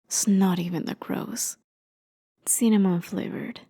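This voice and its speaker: A woman playing a haughty, jaded immortal warrior in an ASMR roleplay, softly spoken and often near a whisper, with sardonic, conversational storytelling. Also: mid-range voice, steady tone, measured pace. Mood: commanding, impatient. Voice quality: soft, breathy, often dropping to a near whisper. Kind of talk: ASMR roleplay, dramatic monologue.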